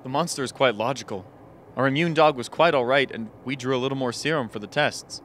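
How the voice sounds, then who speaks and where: An actor playing a logical, level-headed scientist in a radio play, matter-of-fact and grimly ironic. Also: male voice, medium pitch, brisk, a bit bright, slight background noise, lightly acted.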